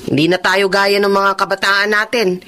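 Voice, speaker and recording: An actress playing a caring middle-aged wife and mother who nags gently in a radio drama. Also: medium pitch, level tone, moderate pace, a little hiss or hum, close to an everyday voice. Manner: gently nagging.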